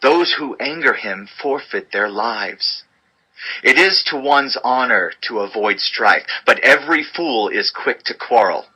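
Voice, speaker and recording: Bright, forceful man reading scripture. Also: medium pitch, slightly rough, poor audio. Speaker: audio Bible narrator.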